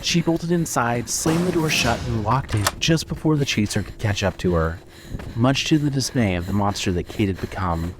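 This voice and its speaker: A man's voice: mid-range voice, lively intonation, moderate pace, noisy background. A man narrating a scripted dramatic story for a fiction podcast.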